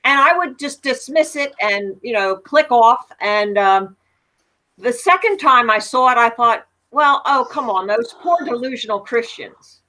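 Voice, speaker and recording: American woman, big carrying voice, sharp n bright, kinda high, chatting on an online panel. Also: expressive, unhurried, poor audio. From United States. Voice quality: loud, projecting voice. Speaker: panel guest. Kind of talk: casual online panel conversation.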